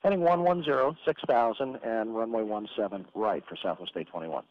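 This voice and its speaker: A man working as an air traffic controller, giving brisk, procedural instructions in radio phraseology with filler pauses. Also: lowish voice. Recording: muffled radio transmission with hiss.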